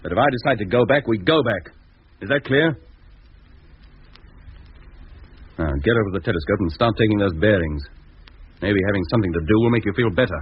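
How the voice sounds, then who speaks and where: An actor playing a firm, authoritative spaceship captain in a 1950s radio drama, brisk and decisive. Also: male voice, on the low side, expressive, slightly rough. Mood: stern, angry. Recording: dull, noisy 1950s radio recording.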